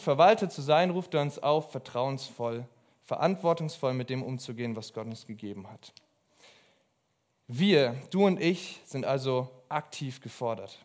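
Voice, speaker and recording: A young man preaching a sermon in an earnest, emphatic way, pausing between phrases. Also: mid-range voice. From abroad.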